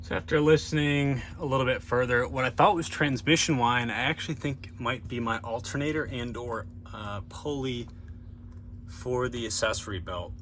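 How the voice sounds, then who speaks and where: A man's voice: mid-range voice, moderate pace, fairly bright tone. Man vlogging casually over vehicle noise. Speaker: DIY car repair vlogger. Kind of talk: casual vlog talk, narrating own work.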